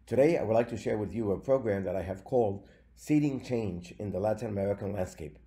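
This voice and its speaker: A man, giving a recorded slide lecture in a measured way with frequent fillers. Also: fairly low pitch, warm tone. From Venezuela.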